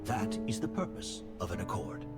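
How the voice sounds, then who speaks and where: An actress playing a composed, exacting woman, a master perfumer instructing a pupil in a video game, measured in manner. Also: extremely low pitch, very animated. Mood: instructive. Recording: loud music under the voice.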